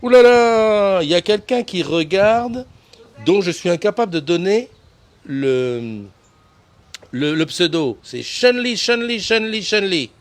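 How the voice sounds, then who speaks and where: A man's voice: high voice, animated, slow. A man hosting a casual live morning show, chatting and greeting viewers by name with frequent laughs. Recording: noisy live stream.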